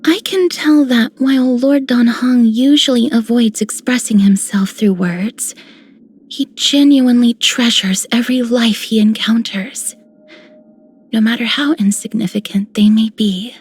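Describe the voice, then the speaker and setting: A woman voicing a gentle, reverent young priestess with a soft, tender manner in a video game. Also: fairly high pitch, a little slow, husky.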